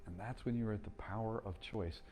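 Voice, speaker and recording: Calm, low-voiced man guiding meditation. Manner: slow, calm and gentle, with long pauses. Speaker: meditation guide. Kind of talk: guided breathing meditation.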